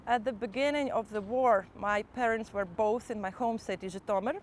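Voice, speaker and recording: A young woman from Ukraine with a Ukrainian accent, a motivational teacher calmly and earnestly reflecting in her own words. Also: mid-range voice, a little hiss or hum. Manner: calm, earnest and measured, with small restarts. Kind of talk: personal first-person reflection.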